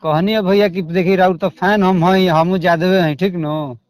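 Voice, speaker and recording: A man's voice: high voice, fairly even intonation, normal speed, darkish tone, no room sound. A man with a Bhojpuri accent speaking as a caller in a confrontational phone call, in an aggrieved, repetitive, coarse way.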